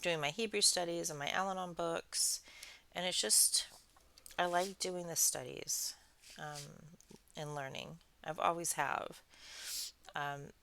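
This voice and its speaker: A woman hosting a craft channel, chatting casually in a soothing, soft-spoken, rambling way. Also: on the low side, fairly even intonation, slow pace, gravelly, long pauses. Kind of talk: casual chat while crafting.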